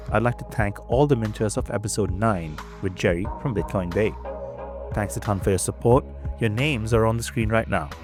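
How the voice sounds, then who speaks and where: A man reading a scripted podcast introduction in a measured way. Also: lowish voice. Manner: measured, read-out delivery. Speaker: podcast host.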